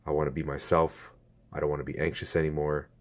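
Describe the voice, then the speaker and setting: A man in his twenties talks to his viewers through a phone camera, earnest and halting, with a strained throat. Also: extremely low pitch, little pitch movement. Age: 20s. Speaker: YouTuber. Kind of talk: casual talk to camera.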